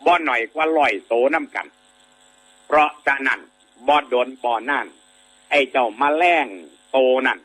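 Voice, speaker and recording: Isan-accented monk telling a fable, rambly and chatty, keeps going "right?", bright husky voice. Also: male voice, mid-range voice, low-quality recording. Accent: Isan Thai. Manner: rambling and conversational, many filler sounds, keeps asking listeners to agree. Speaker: Buddhist monk giving a dharma talk. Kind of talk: folksy sermon telling a moral fable.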